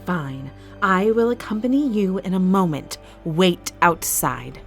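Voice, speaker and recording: A woman's voice: lowish voice, expressive, a little slow. A woman acting as a calculating, ruthless fight-arena master in an audio drama, speaking in a formal, clipped, authoritative way. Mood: terse, irritated. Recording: music bed under the voice.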